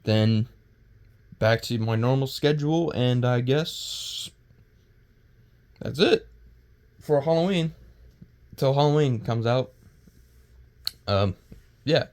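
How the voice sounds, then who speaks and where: A man hosts his own video with loose, unscripted commentary at a middling pitch. He talks in a halting, rambling way, tripping over words and restarting his sentences. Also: darkish tone. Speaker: video creator and host. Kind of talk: casual unscripted power-scaling commentary.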